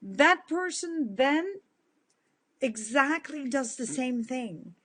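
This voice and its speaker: A woman in her fifties who grew up in the Netherlands, with a big booming voice that sits a little high for a woman. She talks straight to her followers in a casual live stream, earnest and sincere. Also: lively intonation, takes it slowly, a bit bright. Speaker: kindness movement speaker.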